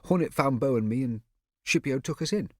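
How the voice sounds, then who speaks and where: A man's voice: mid-range voice, expressive, brisk, no echo, clean studio sound, pitched above the performer's own voice, natural voice. A man voicing a proud, protective twelve-year-old boy who speaks earnestly and defensively.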